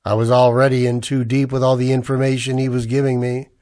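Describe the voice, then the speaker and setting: A man's voice: mid-range voice, completely flat delivery, a little fast, darkish tone, lightly acted. An actor playing a tense, defiant man narrating a noir sci-fi ordeal in old radio drama style, hard-boiled and urgent. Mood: grim, reflective. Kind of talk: 1940s radio drama acting with first-person narration.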